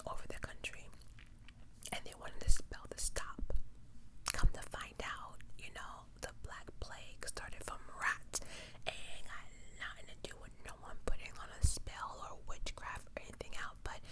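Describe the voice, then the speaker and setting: An ASMR host telling true crime entirely in a whisper, mixing narration with casual, emphatic asides and repeating points for effect. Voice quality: fully whispered, unvoiced.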